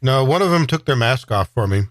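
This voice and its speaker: A man's voice: medium pitch, lively intonation, a little fast, no echo. Man playing a tabletop game, dry mic. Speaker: player. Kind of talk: casual tabletop play.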